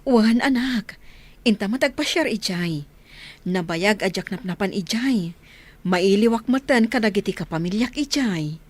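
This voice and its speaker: A woman's voice: medium pitch, lively intonation, moderate pace, darkish tone, close to an everyday voice. A middle-aged woman with an Ilocano accent acting an emotional village mother in a radio drama. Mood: content.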